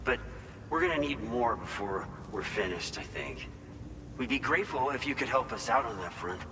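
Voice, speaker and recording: An actor playing an earnest, grateful facility staffer in a video game cutscene, speaking in a measured, polite, explanatory way. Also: male voice, mid-range voice, expressive, in a large hall, noisy background, natural voice. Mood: earnest, helpful. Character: earnest male facility staffer briefing a porter, grateful and hopeful.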